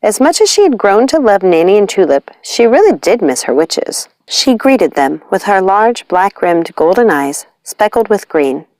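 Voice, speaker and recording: A woman reading a fantasy storybook aloud in an expressive narrating style. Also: medium pitch, moderate pace, hardly any pauses, dry recording. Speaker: audiobook reader.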